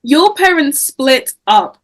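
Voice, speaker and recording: Woman as grieving, guarded teen girl in a table-read drama; slightly high voice, measured pace. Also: big pitch swings, fairly bright tone, heavily acted. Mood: hurt, angry. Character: traumatised grieving teenage girl, guarded but defiant. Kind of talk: amateur screenplay table read.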